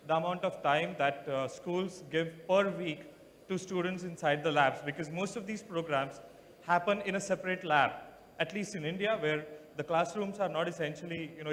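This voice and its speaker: A man's voice: fairly high pitch, normal speed, fairly bright tone. Guy in the audience, lots of uh's, polite, echoey conference hall with faint noise. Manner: hesitant, many fillers, polite. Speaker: audience member, education program organizer.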